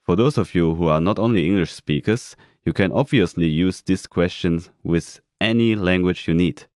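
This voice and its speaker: A man reading words for a language lesson, saying each one twice, slowly and deliberately. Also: low-pitched, darkish tone, no echo, clean studio sound. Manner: says each word twice, slow and deliberate. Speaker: German pronunciation speaker. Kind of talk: slow pronunciation drills.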